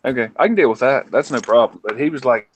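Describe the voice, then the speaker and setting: A man's voice: mid-range voice, fast talker, a little hiss or hum. A man with a Southern accent hosting a casual late-night chat, telling rambling folksy stories with crude asides. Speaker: host.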